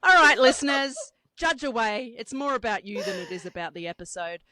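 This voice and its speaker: A woman co-hosting a podcast, chatty and teasing. Her pitch swings up and down as she talks. The sound is dry and close, with no room echo. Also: lively intonation, fairly quick, sharp tone. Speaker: podcast co-host. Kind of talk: casual chat.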